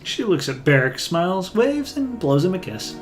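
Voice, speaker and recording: A man's voice: high-pitched, very animated, normal speed, music in the background. A man casually reading a game's dialogue and narration aloud, often hesitating as he reads. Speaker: game let's player reading dialogue aloud.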